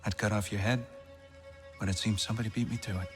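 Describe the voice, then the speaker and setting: An actor playing a bitter, wry Norse god who leads rebels in a video game, speaking in a sardonic, deliberate way. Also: male voice, on the low side, steady tone, big-room sound, soft background music, lightly acted. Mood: menacing, sardonic. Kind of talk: cinematic video game acting.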